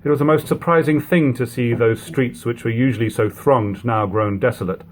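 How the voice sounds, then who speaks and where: A man reading an old literary book aloud in a measured, phrase-by-phrase narration, on a lo-fi home recording. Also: mid-range voice. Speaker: audiobook reader. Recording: lo-fi home recording, background hum, noise.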